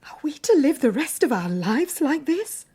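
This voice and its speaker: An actress playing a devoted, worried young bride with a soft, breathy, tender delivery in a dramatised audiobook. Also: female voice, sits high, very animated, normal speed. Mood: worried tenderness. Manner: tender, concerned.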